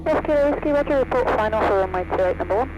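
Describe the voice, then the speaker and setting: High-pitched male air traffic controller on radio. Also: animated, rapid-fire, highs cut off. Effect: aircraft radio. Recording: aircraft radio with loud music.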